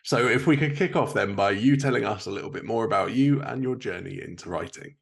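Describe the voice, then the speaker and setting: A man's voice: fairly low pitch, fairly quick, gravelly. A man hosting a podcast, interviewing a guest in a conversational style.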